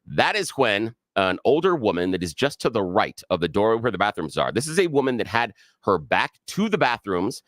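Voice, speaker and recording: A man co-hosting a talk radio show, telling a story in an animated way and acting out the other people's voices. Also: fairly low pitch, normal speed, few pauses, dry recording, studio quality. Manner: animated, acts out the quoted voices. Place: radio studio. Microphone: studio microphone.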